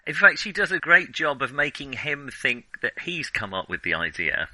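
Male podcast co-host, bright and forward, hesitant and chatty, dry close mic. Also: medium pitch, animated, normal speed, no room sound, low-quality recording. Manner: chatty, hesitant with frequent ums, laughs easily. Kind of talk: casual film discussion.

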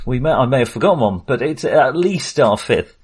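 A man's voice: mid-range voice, animated, a little fast, husky. Man, middle-aged, podcast host, casual film chat, jokey, self-deprecating.

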